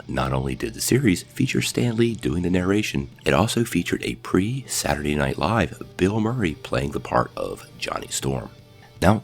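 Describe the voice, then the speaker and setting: Very deep, gravelly male presenter. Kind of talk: scripted introduction narration. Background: faint music.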